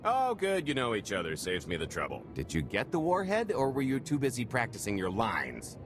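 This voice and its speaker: An actor playing a cocky, smooth-talking smuggler in a video game cutscene, full of sardonic wisecracks. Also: male voice, mid-range voice, huge pitch swings, fairly quick, over music. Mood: wry.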